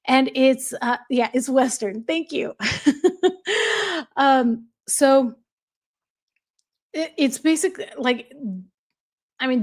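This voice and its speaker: An American woman hosting a casual livestream, reading viewer comments aloud and laughing often as she chats. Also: high voice. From United States. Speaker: livestream host.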